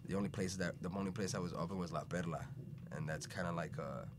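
Young male podcast guest with a very deep voice, loose and chatty, over steady room noise. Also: fast. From Venezuela, raised in Chicago. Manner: laid-back, rambling, says "bro" and "you know" a lot. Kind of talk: casual conversational storytelling.

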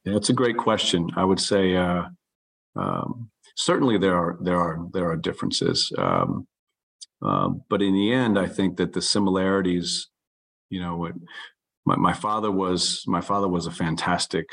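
A man who is a coach and author, speaking as an interview guest in an earnest, halting way with many restarts and a loud voice. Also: low-pitched, lively intonation, creaky, slightly rough. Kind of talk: reflective interview talk.

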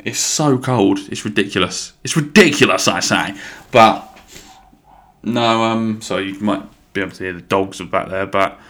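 A man's voice: on the low side, fairly bright tone. Rambling, casual male podcast host. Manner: rambling, swears casually, many ums and restarts. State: a bit bunged up. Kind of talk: casual solo podcast chat.

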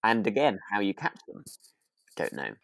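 A man's voice: lowish voice, big pitch swings, moderate pace, creaky, dry recording, poor audio. A man co-hosting a podcast, recapping a drama in casual, agreeable chat with hesitant, trailing asides. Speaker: podcast co-host.

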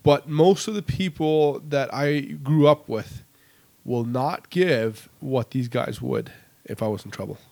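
A man's voice: mid-range voice, unhurried, vocal fry, darkish tone. A man co-hosting a podcast, speaking bluntly and emphatically in casual chat. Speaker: podcast co-host.

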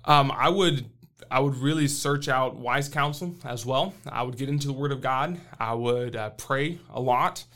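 A man's voice: medium pitch, normal speed, fairly bright tone. Earnest missionary man with hesitant fillers. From Brazil. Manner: earnest, plain-spoken, frequent "uh" fillers. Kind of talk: interview answers.

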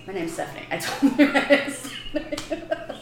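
A woman's voice: high voice, big pitch swings, reverberant. A woman in her thirties from Chicago with a Chicago accent, a comedian guest on a podcast, joking crudely and bluntly. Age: 30s. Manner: brash, crude joking, quick laughs. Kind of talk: casual podcast chat.

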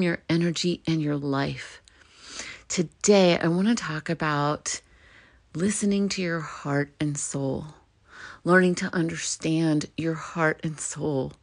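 Middle-aged woman, podcast host, solo reflective talk, slightly low voice, gets animated. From United States.

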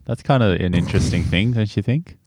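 A young man, co-hosting and engineering a casual radio show, trading dry, deadpan jokes. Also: fairly low pitch, fast talker, background noise. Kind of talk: casual chat.

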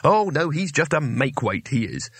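A man's voice: fairly high pitch, sing-song, moderate pace, a bit bright, breathy edge, no room sound, voice pushed up, full character voice. A man voicing a nervous, self-pitying, sarcastic failed male wizard in a comic storybook reading. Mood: bitter. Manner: anxious, stammering, sarcastic.

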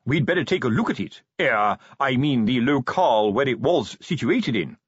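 A man's voice: medium pitch, expressive, normal speed, husky, dry recording. A man voicing a stout, plodding male watch sergeant who talks earnestly and tries to sound official. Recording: dull lo-fi recording.

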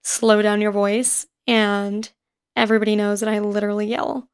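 A woman in her twenties with a raspy voice, hosting a casual podcast and rambling quickly through personal stories. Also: mid-range voice, level tone. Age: 20s. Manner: fast-talking and rambling. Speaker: podcast host. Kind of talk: casual chat. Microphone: home recording setup.

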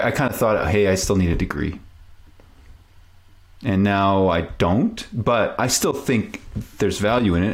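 Low-voiced man, reflective interview guest. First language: French. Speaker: podcast guest. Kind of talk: casual interview chat.

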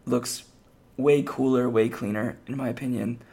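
A man with a hoarse, raspy voice, hosting a podcast and chatting casually on his own, rambling with lots of fillers and restarts. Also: mid-range voice, steady tone, normal speed, warm tone. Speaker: podcast host. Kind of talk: casual solo podcast talk.

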